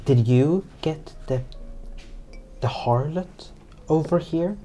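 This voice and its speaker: A game master narrates a tabletop story at a slow pace. The delivery is casual and improvised, with a wry, informal touch. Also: mid-range voice, mellow, slight background noise.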